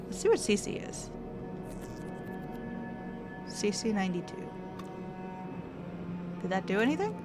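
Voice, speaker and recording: A woman's voice: mid-range voice, big pitch swings, measured pace, long pauses, over music. A young woman streaming a narrative game, thinking aloud and reading its text in casual commentary.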